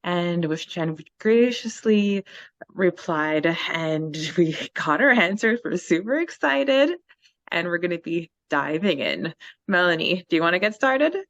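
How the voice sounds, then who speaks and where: An upbeat, giggly woman co-hosts a casual fan podcast and reads out listener questions in a slightly low voice. Her mic sounds a little dull and lo-fi. The room is dry and close. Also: lively intonation, measured pace, a bit bright, no echo. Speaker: podcast co-host.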